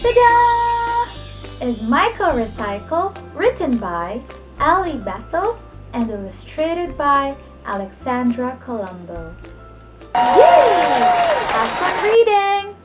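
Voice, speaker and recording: Slow, animated woman teacher at storytime. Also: high-pitched, music in the background, a crowd in the background. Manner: encouraging, addresses children directly with pauses for answers. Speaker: teacher reading a storybook to children. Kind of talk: children's storytime lesson, expressive read-aloud.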